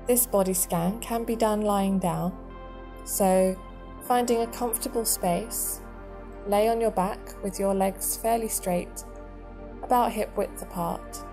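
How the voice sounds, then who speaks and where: A woman guiding a meditation in a soothing, measured manner, leaving long pauses between short phrases. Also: mid-range voice, mellow, soft background music. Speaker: meditation guide. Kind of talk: guided body scan meditation narration.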